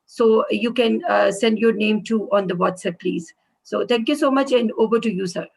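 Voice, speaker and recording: A woman's voice: medium pitch, normal speed, gravelly, darkish tone, husky. A woman moderating an online meeting over a video call, polite and full of hesitations. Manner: polite, many hesitations and fillers.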